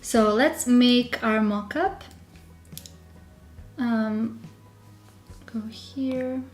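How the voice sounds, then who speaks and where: Female live-stream host, Serbian accent, slow casual delivery, thinking aloud, a bit poorly, soft music underneath. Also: on the high side. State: slightly unwell with a sore throat. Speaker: digital journaling creator hosting a live stream. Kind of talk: casual live tutorial chat.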